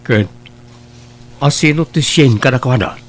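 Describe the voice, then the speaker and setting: A man's voice: mid-range voice, unhurried, close to an everyday voice. A man playing a carefree, flippant young womanizer in an audio drama, with an Ilocano accent. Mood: curious. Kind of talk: Ilocano radio-style audio drama acting.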